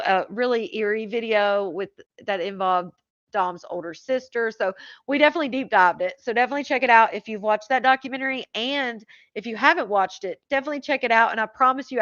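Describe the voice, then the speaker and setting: A woman's voice: medium pitch, fairly bright tone, dry recording. A woman hosting a livestream, talking loosely with her viewers and answering their comments as they come in. Her voice sounds a little worn after hours on air. The audio is dry and slightly dull, like a home streaming mic. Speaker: live stream host. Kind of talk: casual chat with live viewers.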